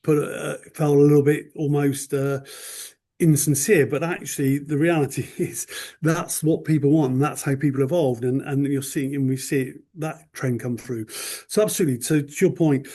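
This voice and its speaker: A man speaking as a guest on a podcast, thoughtful and measured, leaning on "you know" as he talks. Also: on the high side, steady tone, mellow. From United Kingdom. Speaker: magazine owner, podcast guest. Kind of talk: casual interview chat.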